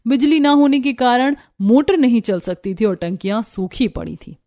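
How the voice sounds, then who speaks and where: High-voiced woman narrating a story. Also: expressive, moderate pace, mellow.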